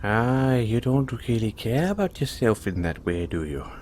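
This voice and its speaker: A man's voice: medium pitch, sing-song, a little slow, a little mellow, slight background noise. A man playing a cheerful, kindly leprechaun with a teasing, folksy manner, in an audio roleplay spoken to the listener. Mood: curious, sly. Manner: chuckling, teasing, folksy talk. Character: cheerful, kindly male leprechaun, chatty and sly.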